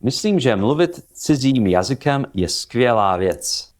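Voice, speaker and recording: Man, language chat host, casual, hesitant, mid-pitched. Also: big pitch swings, unhurried. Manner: thinking aloud, hesitant with fillers.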